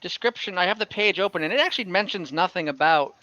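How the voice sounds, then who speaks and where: A man narrating an online tabletop game as game master, improvising in a halting way with pauses mid-sentence. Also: high-pitched, animated, bright, forceful tone, rough recording. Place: indoors, online voice call.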